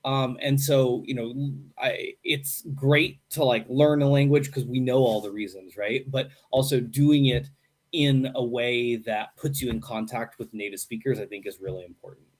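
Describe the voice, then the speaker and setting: Chatty American man, relaxed teacher. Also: medium pitch, normal speed. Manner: relaxed, chatty, frequent "right?" tags. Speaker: English teacher at a university program. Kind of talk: casual interview answers.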